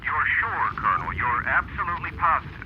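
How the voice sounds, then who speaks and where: An actor playing a grave, commanding military general at mission base in a radio drama, speaking with deliberate, stern authority. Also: male voice, a little high, very animated, dull audio. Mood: stern, probing. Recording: loud engine-like roaring noise.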